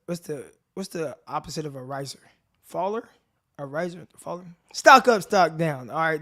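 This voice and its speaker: Man, raspy dry voice, fairly high pitch, laid-back slangy sports talk, live stream host. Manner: laid-back slangy chat addressing viewers directly. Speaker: podcast host. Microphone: desk mic with earbuds in.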